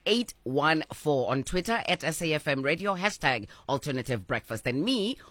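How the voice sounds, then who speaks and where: A woman's voice: sits low, very animated, moderate pace, a bit bright, dry recording. A South African woman co-hosting a morning radio show, teasing and laughing often in casual banter. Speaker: radio breakfast show co-host. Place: radio studio. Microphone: studio microphone.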